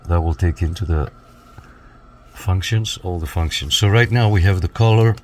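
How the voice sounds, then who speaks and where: A man with a low, deep voice demonstrates equipment in a casual review style. He explains things step by step and checks in as he goes. There is clear noise behind his voice. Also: a little mellow. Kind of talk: casual hands-on product walkthrough.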